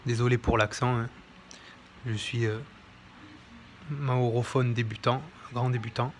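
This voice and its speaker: A man's voice: mid-range voice, level tone, unhurried, a little hiss or hum. A man presenting a history book in an informal, hesitant commentary, with many fillers and self-corrections. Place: home near an airport.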